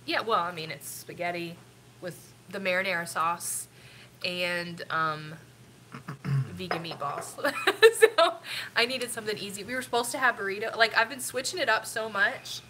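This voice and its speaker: A woman's voice: mid-range voice, normal speed, pushed and bright, a little hiss or hum. A middle-aged woman with a stuffy, sniffly nose, rambling casually with lots of ums while hosting a family live stream. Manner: rambling, chatty, with frequent ums.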